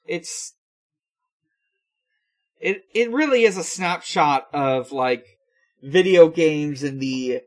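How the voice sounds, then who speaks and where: A man hosting a podcast, giving a casual recap in a halting, unhurried way full of hesitations. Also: medium pitch. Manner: halting, filler-heavy, unhurried. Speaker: podcast host. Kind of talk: casual episode recap with frequent pauses.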